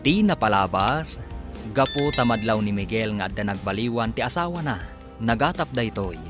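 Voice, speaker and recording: Animated Ilocano radio drama announcer, male. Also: on the low side, normal speed, over music. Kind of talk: scripted dramatic narration and credits.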